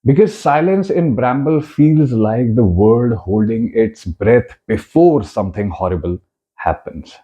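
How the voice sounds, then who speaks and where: Dramatic Indian male narrator, widely swinging pitch. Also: animated, dark tone. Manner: deliberate, emphatic phrasing with dramatic short pauses; code-switches between two languages. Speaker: video essay narrator. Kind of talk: dramatic scripted video essay narration.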